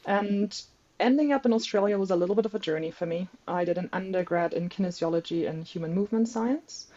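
A woman's voice: mid-range voice, fast talker, warm tone, highs cut off. A woman from Germany with a German accent, a native German speaker, talking casually as a researcher guest in a good-humoured, self-deprecating way. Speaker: podcast guest, researcher and PhD candidate. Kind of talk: casual interview talk.

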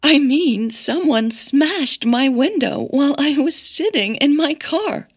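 A woman's voice: high voice, lively intonation, takes it slowly, dry recording, studio quality, voice pushed up, exaggerated voice. A woman voicing a frightened, breathless and upset woman on the phone in an audio drama. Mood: panicky. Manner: breathless, panicky, tearful. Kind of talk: audio drama character dialogue.

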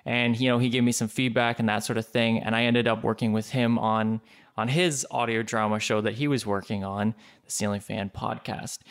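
A man from Canada speaking as a podcast guest, casual and halting as he thinks aloud. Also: medium pitch, fairly bright tone, clear, resonant tone. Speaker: podcast guest, audio drama producer. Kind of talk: casual interview chat.

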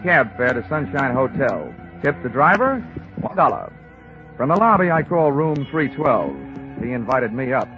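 Male actor playing hard-boiled American investigator, deadpan and wisecracking, vintage radio drama, narrow old recording with music behind. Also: mid-range voice, moderate pace, close to an everyday voice. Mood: wry, narrating. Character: wry, hard-boiled male insurance investigator narrating his case. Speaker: lead actor and narrator. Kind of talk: 1950s radio detective drama acting.

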